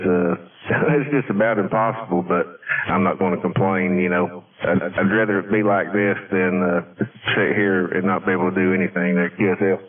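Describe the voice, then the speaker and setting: A man's voice: deep voice, husky, narrow, tinny audio. A man chatting casually over two-way radio in folksy, courteous radio slang. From Tennessee, United States. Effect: two-way radio transmission. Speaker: CB radio operator. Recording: thin, distant CB radio signal.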